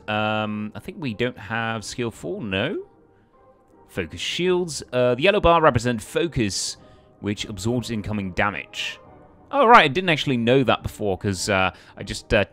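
A man's voice: mid-range voice, fairly bright tone. A man hosting a let's play, commenting casually in a hesitant, rambling way. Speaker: gaming video host. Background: faint game music.

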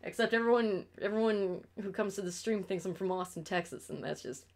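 A woman's voice: mid-range voice, a little fast, darkish tone. A young woman from New York State with an upstate New York accent, chatting on a casual stream from her bedroom, blunt and ranting, swearing freely.

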